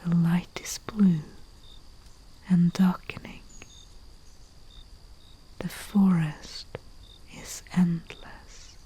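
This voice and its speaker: A woman playing a humble, soothing herbalist in a soft-spoken ASMR roleplay, with a breathy whisper and a hushed manner. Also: on the low side, flat delivery. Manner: slow, hushed, soothing, with long pauses. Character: humble female herbalist, soothing and deferential servant to nobility. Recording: faint hiss with chirping night crickets. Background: crickets and night insect ambience.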